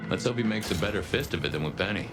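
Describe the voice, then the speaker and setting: A man's voice: fairly low pitch, animated, rapid-fire, loud music behind the voice, natural voice. An actor playing a wry, urbane male detective companion in a video game, with old-fashioned turns of phrase. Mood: wry, musing.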